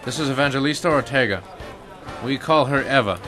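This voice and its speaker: A man playing an edgy, defensive ex-Marine turned crook in a detective radio drama, talking in gruff slang. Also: medium pitch, brisk, a bit bright, faint music behind, lightly acted. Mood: uneasy, defensive.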